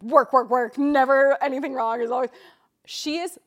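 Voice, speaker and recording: A young woman co-hosting a podcast, telling a story in a quick, chatty way. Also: sits high, fairly even intonation. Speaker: podcast co-host. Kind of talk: casual storytelling chat.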